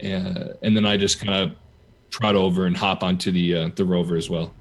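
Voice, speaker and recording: Husky, low-voiced man, offhand. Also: steady tone, normal speed. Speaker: tabletop game player. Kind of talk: casual improvised role-play narration.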